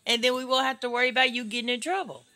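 A middle-aged American woman chatting casually with a small child at home, coaxing and gently scolding from behind a handheld phone. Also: on the high side, fairly even intonation, rapid-fire, noisy background. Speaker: caregiver filming a toddler at play. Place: cluttered carpeted living room.

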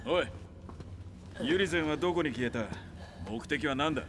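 An actor playing a seasoned middle-aged demon hunter in a game, nonchalant, curt and rough-spoken. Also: male voice, on the high side, moderate pace, fairly bright tone, a little hiss or hum, lightly acted. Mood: curt, impatient. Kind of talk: video game cutscene acting.

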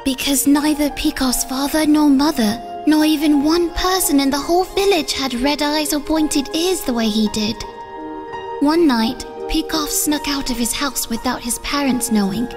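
A woman voicing a timid, earnest village girl in a comic dub, hesitant and soft-spoken. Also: high voice, normal speed, over music, lightly acted. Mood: earnest storytelling. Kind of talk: comic dub acting and fairy-tale storytelling.

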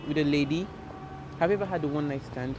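A man's voice: a little high, fast, background noise. A young man hosting a casual street interview outdoors, playfully approaching strangers with friendly questions. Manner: playful, loud shouts to camera, then friendly approach to strangers. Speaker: street interviewer and prank host. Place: outdoors on city streets and a harbor.

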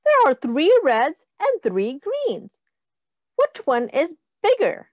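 Woman, high-pitched, animated intonation, very unhurried, instructive teacher, children's read-aloud, counting lesson. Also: no room sound. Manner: deliberate, instructive, asking the child questions.

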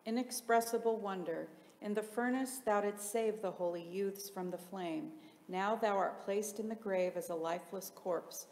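A woman reading solemn liturgical hymns aloud in a measured, formal, chant-like delivery. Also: mid-range voice, darkish tone. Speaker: liturgical reader in church. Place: large reverberant interior. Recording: echoey reverberant hall, faint background noise.

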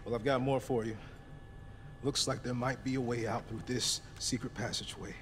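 A man's voice: mid-range voice, fairly even intonation, normal speed, background noise, close to an everyday voice. An actor playing an injured, selfless American police officer in a video game, urging and earnest as he speaks. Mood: weary, helpful. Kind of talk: video game cutscene voice acting.

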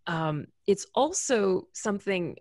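A woman's voice: mid-range voice, animated, slow, darkish tone, no echo. A young woman with an American accent speaking articulately in a panel discussion, close to a desktop microphone. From United States. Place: indoors on a video call. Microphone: large desktop microphone with headphones.

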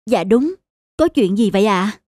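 A woman's voice: medium pitch, animated, normal speed, no room sound, clean studio sound, lightly acted. A woman voicing a humble, kindly middle-aged street cleaner in a cartoon drama, polite and apologetic. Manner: polite, apologetic, soft-mannered. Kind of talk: cartoon moral-drama dubbing.

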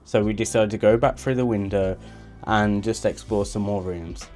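A man's voice: lowish voice, normal speed, darkish tone, faint music behind. A young man, vlogging casually while exploring an abandoned factory, laughing often. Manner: chatty, laughs often, loose rambling. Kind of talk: casual vlog with voice-over narration. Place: abandoned factory indoors and on a roof.

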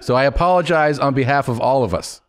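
A man's voice: medium pitch, fast, no room sound. A man in his forties hosting a podcast interview, casual and joking, swearing freely, on a close studio microphone. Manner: joking, swears freely, puts on mock voices. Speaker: podcast host. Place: small studio. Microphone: studio boom mic, close.

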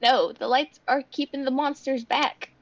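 A woman's voice: high-pitched, steady tone, moderate pace, highs cut off. A woman reading the part of a commanding, protective old grandmother in a comic dub. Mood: firm, urgent.

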